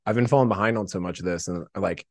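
A man's voice: low voice, fast talker, no echo. A man chatting casually as a podcast guest, rambling and self-deprecating, with lots of "like" in his talk. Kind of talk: casual chat, anecdotes.

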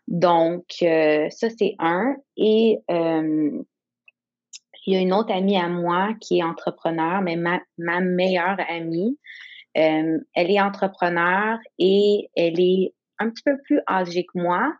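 A woman in her thirties whose first language is English talks slowly and reflectively as an interview guest, often pausing to find her words. Her voice comes through a dull, lo-fi recording. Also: lowish voice. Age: 30s. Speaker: guest entrepreneur. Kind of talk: candid conversational interview.

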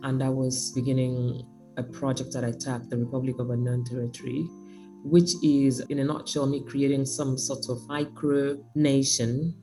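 A woman artist speaking by phone in an interview, reflective and sometimes joking, with lively emphasis. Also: very deep voice, low-quality recording. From Nigeria.

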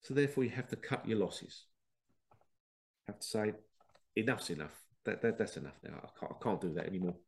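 A man's voice: fairly low pitch, lively intonation, brisk, creaky, long pauses. A man with a head cold and a congested voice, hosting a rambling spiritual talk and often acting out quoted exchanges. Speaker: podcast host. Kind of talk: casual spiritual monologue.

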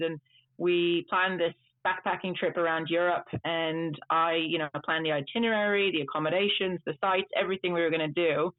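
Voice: mid-range voice, no room sound. A native Dutch speaker in their thirties, a tour trip manager, chatting at length in an interview over a video call. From Netherlands and United States. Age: 30s. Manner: chatty, long rambling answers. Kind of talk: casual interview storytelling. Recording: tinny phone-like line, dry.

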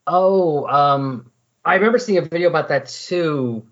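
A man's voice: a little high, unhurried. A man chatting casually and conversationally as a podcast co-commentator, rambling a little as he explains things. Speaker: podcast co-commentator on comic books. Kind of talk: casual chat, recounting comic book plots. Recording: slightly dull, lo-fi audio.

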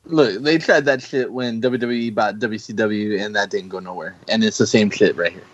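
A man's voice: fairly low pitch, moderate pace. A man in a casual group chat who argues bluntly and swears casually. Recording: dry, dull lo-fi recording.

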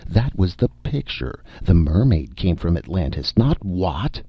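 A man voicing a loud, furious, domineering film director in an audiobook story, bellowing and exasperated. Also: deep voice, sing-song, unhurried, slightly rough, no room sound, lo-fi sound, voice pushed up, exaggerated voice.

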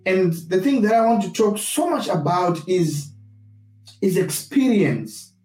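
A man from Zimbabwe with a Zimbabwean accent gives a reflective, sermon-like talk, deliberately restating his points for emphasis. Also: sits high, animated, a little mellow. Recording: roomy echo, distant mic, music behind.

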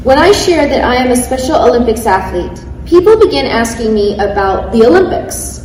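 A woman's voice: a little high, sing-song, moderate pace, darkish tone, a little hiss or hum. A woman in her thirties on a panel, reading prepared remarks in an eager way, laughing at herself when she stumbles. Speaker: panelist, athlete speaker. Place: large event hall. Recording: echoey hall, mic at a distance.

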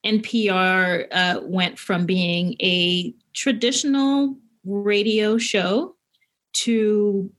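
A woman's voice: mid-range voice, steady tone, very slow. A middle-aged woman from Haiti, a podcaster being interviewed, talking conversationally and with enthusiasm as she shares anecdotes.

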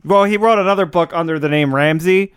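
A man chats casually as a comedy show co-host, chiming in like a sidekick. His voice is fairly high and very smooth, recorded dry with no room sound. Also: brisk, dry recording. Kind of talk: casual banter.

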